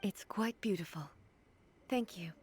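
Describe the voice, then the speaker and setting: Breathy, solemn goddess ruler. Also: female voice, medium pitch. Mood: gracious, composed. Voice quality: soft, breathy. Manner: formal, measured, philosophical. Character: solemn, regal, ageless female deity ruler, calm and reflective. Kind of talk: video game character dialogue.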